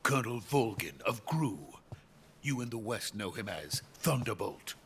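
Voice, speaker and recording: An actor playing a wary, grave captive Soviet scientist who speaks in an explanatory way in a video game cutscene. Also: male voice, lowish voice, sing-song, unhurried, a bit bright, a little hiss or hum, exaggerated voice. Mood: urgent, hushed.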